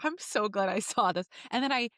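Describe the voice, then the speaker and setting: A woman's voice: mid-range voice, a little fast, a bit bright, dry recording, clean studio sound. A woman co-hosting a podcast with casual, giggly, playful comic banter. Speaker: podcast co-host.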